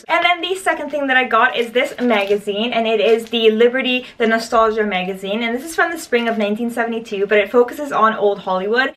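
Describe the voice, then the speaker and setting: A bubbly young woman talks into her camera at home, showing off thrift finds in a gushing, chatty way. Her voice sits a little high, and she fills it with "like" and big praise. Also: fairly bright tone, slight background noise. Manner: gushing, chatty, full of "like" and "stunning". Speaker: vlogger. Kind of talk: casual haul vlog. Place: bedroom at home.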